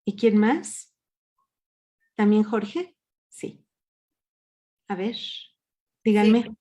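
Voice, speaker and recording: Slow-speaking woman leading a workshop. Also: mid-range voice, dark tone. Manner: patient, asks the group questions. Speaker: workshop leader. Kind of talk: guiding an online workshop. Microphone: video call.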